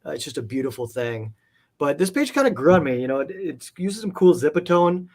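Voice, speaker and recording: A man's voice: on the high side, sing-song, a little fast. A man talking casually as an interview guest, rambling enthusiastically through details of things he collects. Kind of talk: casual chat.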